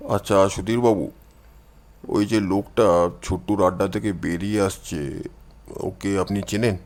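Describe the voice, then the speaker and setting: A man's voice: deep voice, steady tone, unhurried, darkish tone, voice pushed down. A man voicing a cool, assured amateur detective who gives orders in an audio storybook. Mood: quiet, commanding.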